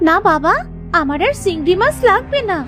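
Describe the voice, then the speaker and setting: A woman's voice: very high voice, very animated, brisk, a bit bright, faint music behind, exaggerated voice. A woman playing a little village girl, an eager but easily frightened sister with a childlike, pleading manner, in a children's cartoon.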